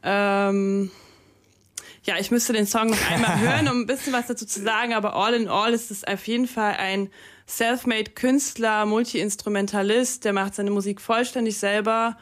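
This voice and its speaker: A woman's voice: medium pitch, steady tone, normal speed. A young woman DJ, Russian by first language, chats easily in a campus radio studio. She talks loosely, with fillers and English slang words mixed in, into a close studio microphone. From Germany. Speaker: DJ, interview guest. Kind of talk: casual radio interview.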